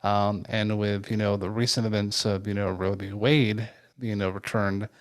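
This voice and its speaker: A man co-hosting a conversational interview, speaking in a halting, tentative way. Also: on the low side, flat delivery, smooth. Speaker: podcast co-host interviewing a guest.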